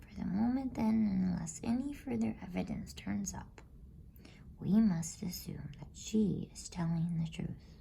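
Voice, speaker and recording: Woman voicing a slow, creaky, soft-spoken old professor. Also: medium pitch, slight background noise. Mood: musing, deliberate. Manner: measured, musing, pedantic. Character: elderly male professor, calm, logical, gravely deliberate.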